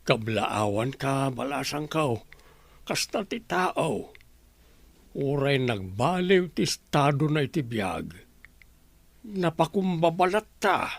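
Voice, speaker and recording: Guy playing humble grateful old villager, radio drama, creaky, slow and kinda high. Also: animated, exaggerated voice.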